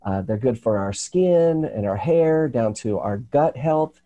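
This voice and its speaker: A man hosts a relaxed health segment over a video call. His voice is mellow and dark, with a slight rasp from a recent cold. He talks in an earnest, halting way, restarting his sentences often. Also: medium pitch, highs cut off. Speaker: host. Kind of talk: casual health talk show chat. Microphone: remote video call.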